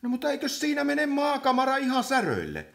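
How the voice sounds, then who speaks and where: Actor as an exasperated, scolding meeting chairperson with swooping pitch. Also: wildly swooping pitch, vocal fry, heavily acted. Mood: puzzled, businesslike. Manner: scolding, hesitant, teacherly. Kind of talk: comic radio play acting.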